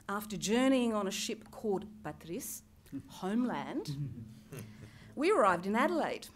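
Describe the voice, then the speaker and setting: A woman in her fifties who grew up in Greece speaks from a lectern in a large hall, telling her story earnestly with dry asides. Her voice sits at an ordinary middle pitch. A faint hiss runs under the headset mic. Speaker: journalist and lecturer giving a conference talk. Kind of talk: personal conference speech.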